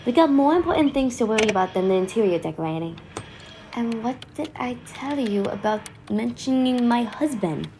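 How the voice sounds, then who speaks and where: A woman's voice: fairly high pitch, expressive, normal speed, dark tone, slight background noise. A woman playing a no-nonsense, impatient time-travelling commander in an audio drama, curt and businesslike as she explains. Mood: curt, irritated.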